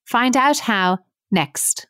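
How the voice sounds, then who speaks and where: A woman hosting an interview podcast, reading scripted introductions and asking lively questions. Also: a little high, drawn-out words, clear, resonant tone, dry recording, studio quality. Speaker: podcast host.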